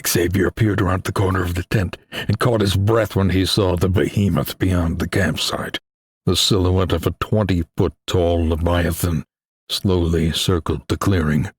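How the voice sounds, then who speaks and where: A man reading a suspenseful adventure story as an audiobook narrator, in a grave, deliberate, dramatic manner. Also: sits low, fairly even intonation, husky, no echo, clean studio sound.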